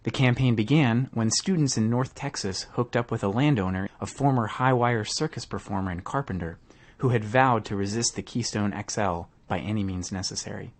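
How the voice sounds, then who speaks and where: A man with a fairly low voice narrates from a script as a podcast host. He reads in full, prepared sentences, introducing and linking parts of a report. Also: moderate pace.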